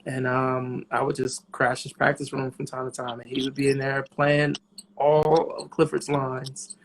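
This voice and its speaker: A man in his thirties, a jazz trumpeter, talking as a guest in a casual interview in a relaxed, reflective way with many pauses. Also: mid-range voice, slight background noise, poor audio. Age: 30s.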